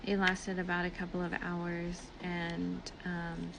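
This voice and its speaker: A woman's voice: on the low side, completely flat delivery, slow, vocal fry, noisy background. A woman telling a personal story in a casual, exasperated and emphatic way. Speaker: online small business owner.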